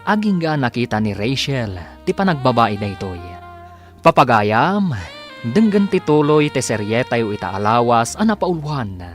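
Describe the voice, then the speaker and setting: A man's voice: mid-range voice, very animated, moderate pace. A man with an Ilocano accent delivering dramatic scripted narration as a radio drama announcer. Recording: music bed under the voice.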